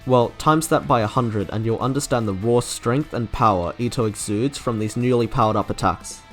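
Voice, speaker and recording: Male video reviewer, scripted narration, mid-pitched voice, music underneath. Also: moderate pace. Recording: music behind the voice.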